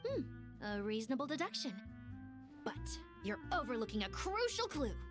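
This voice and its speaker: A woman's voice: high-pitched, very animated, normal speed. A woman voicing a perky, eager young reporter in an anime game, with an enthusiastic, theatrical delivery. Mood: dramatic. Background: music.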